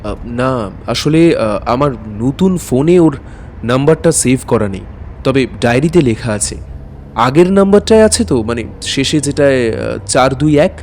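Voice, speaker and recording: Actor as a animated, courteous tutor. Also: male voice, medium pitch, measured pace, a little hiss or hum. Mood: polite, hesitant. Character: anxious, courteous male maths tutor recounting an eerie night. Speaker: narrator and lead character. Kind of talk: dramatic first-person ghost-story narration.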